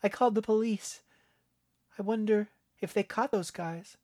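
A man narrating a story, voicing an earnest, worried young boy in a high, urgent voice. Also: normal speed, darkish tone, no echo, studio quality, natural voice. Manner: urgent, pleading boyish voice.

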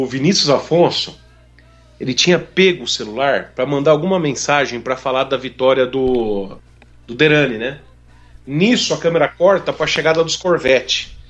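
A Brazilian man hosting a motorsport show, chatting animatedly and laughing often. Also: a little high. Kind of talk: casual podcast chat.

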